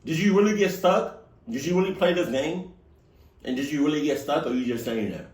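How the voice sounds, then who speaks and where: A man's voice: a little high, brisk. Young guy cracking jokes over a video, voice jumps all over, echoey room. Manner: joking, teasing, animated asides. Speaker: reaction video host. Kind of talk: casual reaction commentary.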